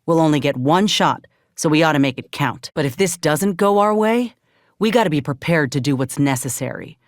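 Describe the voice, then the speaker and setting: An actress playing a grim, resolute outcast soldier in a video game, with a folksy drawl and a measured, wry delivery. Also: female voice, low voice, animated, dry recording, clean studio sound. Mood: firm, determined. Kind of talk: video game character dialogue.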